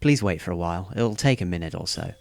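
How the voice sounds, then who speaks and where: A man playing a polite, unflappably cheerful high school boy in an anime-style audio drama, courteous and composed. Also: expressive, quick pace, creaky, no room sound. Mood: obliging, pleasant. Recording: faint music behind the voice.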